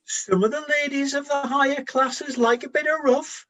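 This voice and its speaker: An actor playing a bored, vain Trojan soldier who grumbles wistfully in a comic radio play. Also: male voice, very high voice, fairly even intonation, normal speed, fairly bright tone. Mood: wounded pride. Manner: grumbling, wistful, droll bantering. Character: bored, vain, plain-looking male Trojan soldier on night watch.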